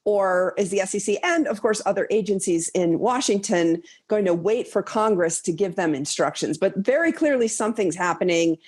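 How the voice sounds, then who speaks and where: Woman giving measured analysis. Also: medium pitch, lively intonation, a little mellow, breathy edge. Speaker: commentator. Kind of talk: interview analysis.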